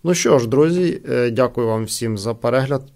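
A man with a creaky voice giving casual unboxing and product testing commentary as a host. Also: medium pitch, normal speed, darkish tone. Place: indoors at a wooden table.

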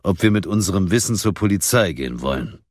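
A man's voice: low voice, expressive, quick pace, no room sound, studio quality. Man playing a weary stern editor. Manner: weary, corrective sighs. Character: stern older male editor, weary and correcting.

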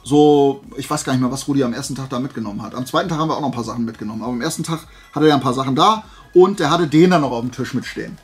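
A man's voice: medium pitch, rapid-fire, faint music behind. A man hosting a haul video, rambling casually to his viewers, often drifting off track and correcting himself. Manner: chatty, digressive, self-correcting. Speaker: flea market haul video host.

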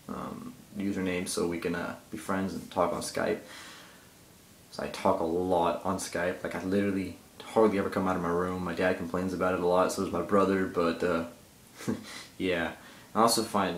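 A teenage boy with a somewhat low voice rambles into a handheld iPod camera held at arm's length. He talks loosely and jokily, losing his thread. The small tiled bathroom gives the sound a hard, echoing ring. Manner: rambling, easily distracted, jokey. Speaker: gaming vlogger. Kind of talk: casual rambling vlog. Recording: echoey bathroom.

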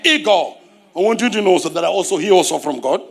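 A middle-aged man with a Zimbabwean accent preaching a sermon on a church stage, animated and repeating key phrases, calling for responses. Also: sits high, fast, vocal fry, husky. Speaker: pastor.

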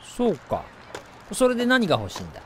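男性が演じる抑揚の大きい、ぶっきらぼうな父親. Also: male voice, sits high, huge pitch swings, brisk, a bit bright, a little hiss or hum, full character voice. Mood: blunt. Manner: blunt, emphatic, declamatory. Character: gruff, preachy father lecturing his young son. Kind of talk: scripted radio drama narration and dialogue.

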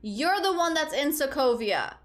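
A woman's voice: high voice, big pitch swings, measured pace, faint music behind. A young woman from Portugal streaming from a small room on a headset, chatting casually with her viewers, giggly and rambling. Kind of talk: casual chat with live chat. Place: small room indoors at a desk.

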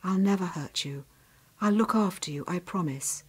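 A woman's voice: mid-range voice, lively intonation, normal speed, lightly acted. Female reader playing loyal older brother, earnest boy, serious, protective, storybook dialogue. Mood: tender, hushed.

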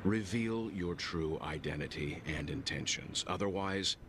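An actor playing a stern, guarded man in a game, speaking in a measured, formal, commanding way. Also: low-pitched, background noise. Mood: cold, threatening. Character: stern, guarded bespectacled man, protective and threatening. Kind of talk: scripted game dialogue. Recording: game dialogue over background music.

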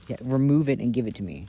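A man doing a casual, intimate ASMR boyfriend roleplay in a soft-spoken, playful way, recorded outdoors. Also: medium pitch, husky. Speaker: audio roleplay performer playing a boyfriend. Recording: outdoor recording with background noise. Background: outdoor ambience and noise.

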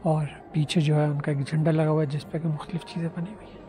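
A middle-aged man, chatting as a travel vlogger in a halting way with many pauses. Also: a little high, little pitch movement, warm tone, faint music behind. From Pakistan. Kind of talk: casual travel vlog narration. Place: inside a large ornate synagogue hall. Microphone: handheld camera at arm's length.

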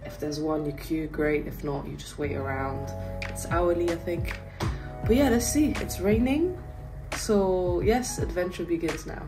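Loud music plays under a woman's fairly low voice. She talks casually as a travel vlogger, addressing a handheld phone. The delivery is informal, like chatting to viewers on the go. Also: a little slow, rough recording. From Morocco or London. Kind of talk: casual travel vlog chat to camera. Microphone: handheld phone at arm's length.

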